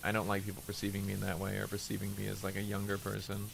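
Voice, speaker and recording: A young man streaming who chats casually about himself, hesitant and rambling with many fillers, deliberately lowering his voice. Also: monotone, vocal fry, noisy background. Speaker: VTuber streamer. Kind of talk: casual stream chat while gaming.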